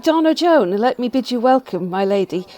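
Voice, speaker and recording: Woman playing welcoming noble hostess, very high voice, faint noise, formal shakespeare radio play. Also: lively intonation, moderate pace, a little mellow, lightly acted. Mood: gracious welcome. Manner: courteous, formal. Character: gracious, welcoming lady of a great house. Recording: noisy home recording.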